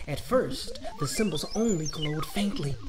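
A man reading a children's story aloud as narrator, dramatic and deliberate, drawing out key words. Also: a little high, background noise. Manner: expressive, deliberate phrasing with drawn-out words. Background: music.

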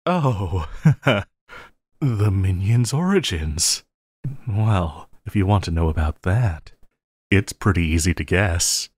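A man playing an obsessive, lovesick supervillain in an audio roleplay, needy and melodramatic, with halting, stammering delivery. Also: sits low, animated, breathy edge, dry recording, heavily acted. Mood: calmer, amused. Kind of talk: intimate audio roleplay acting.

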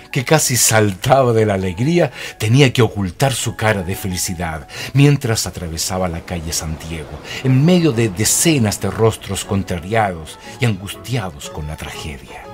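A man's voice: fairly low pitch, lively intonation, normal speed, hardly any pauses, faint music behind, lightly acted. A Chilean man narrating a wry radio play in a dramatic storytelling style.

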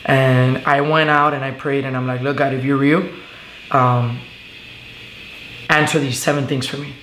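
A man's voice: medium pitch, level tone, a bit bright. Earnest young man in an echoey room. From Venezuela. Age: young adult. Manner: earnest, halting with many fillers. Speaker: guest. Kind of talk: casual testimony interview. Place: living room, on a couch. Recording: echoey room with faint hiss.

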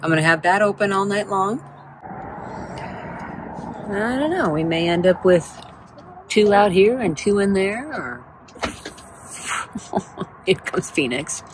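A woman's voice: lively intonation, takes it slowly, long pauses, noisy background. A woman vlogging with a handheld phone in her backyard chicken run, chatting casually and talking to her hens. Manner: chatty, talks to her hens in a high playful voice. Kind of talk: casual first-person vlog narration. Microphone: handheld phone camera, speaker off screen.

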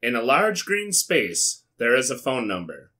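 An American man hosting a comedic read-aloud, dropping wry, sweary, deadpan asides in a casual way. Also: medium pitch, unhurried. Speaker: YouTube host. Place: small indoor studio with foam panels.

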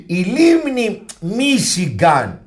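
A man's voice: high voice, crowd noise. A man playing an exasperated, fussy husband and father in a Greek radio theatre farce, theatrical and easily flustered. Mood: sly, showy. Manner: theatrical, excitable, exclaiming. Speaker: actor in a radio comedy.

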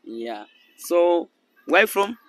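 A young Tanzanian man with a slightly raised pitch, holding a handheld mic out on a sunny boardwalk. He talks to passers-by slowly and patiently, in broken English, pausing as he explains. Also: big pitch swings, fairly bright tone. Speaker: street interviewer. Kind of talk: casual street interview. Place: sunny beach boardwalk outdoors.

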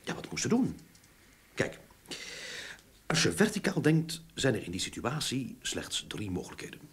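An actor playing a talkative, enthusiastic sleuth in a radio drama, explaining things eagerly in a husky voice with emphatic repeats. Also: male voice, mid-range voice, wildly swooping pitch, moderate pace, fairly bright tone, full character voice. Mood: storytelling. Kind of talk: Dutch radio drama acting.

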